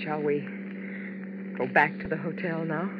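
An actress playing a frightened, clinging showgirl wife in a 1950s radio drama, halting and pleading. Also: low voice, level tone, noisy background. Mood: weary resignation. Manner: pleading, halting, repeating herself. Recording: dull 1950s radio broadcast with music.

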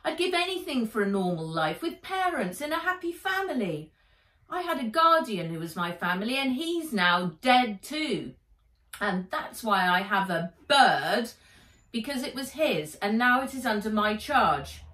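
A woman's voice: fairly high pitch, sing-song, measured pace, a bit bright, voice pushed up. A proud, fiery orphan girl at boarding school, played by a woman reading aloud. She speaks earnestly and emphatically, in a roomy-sounding storybook reading. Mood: angry, hurt. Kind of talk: children's book read-aloud.

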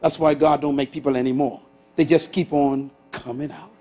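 A Bahamian man in his sixties preaching a sermon on a church stage, swinging from loud bursts to hushed asides. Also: mid-range voice, fairly even intonation, normal speed. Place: large church auditorium stage with audience.

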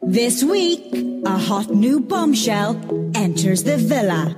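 A woman voicing a dramatic female announcer in a deliberate reality dating show teaser voice-over. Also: fairly high pitch, very animated. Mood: dramatic, teasing. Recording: long reverb, loud music behind.

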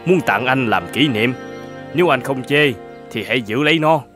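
Man playing earnest young traveller, medium pitch. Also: expressive, moderate pace, music in the background, natural voice. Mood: earnest, wistful. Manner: polite, earnest. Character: grateful, earnest young traveller, poor and homesick. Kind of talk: animated folk-tale dubbing.

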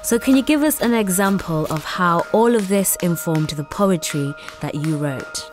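A woman's voice: fairly low pitch, expressive, normal speed, over music. Woman with mixed Zimbabwean-Yorkshire accent. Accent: Zimbabwean and South Yorkshire mix. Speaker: interviewer. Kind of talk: scripted interview questions.

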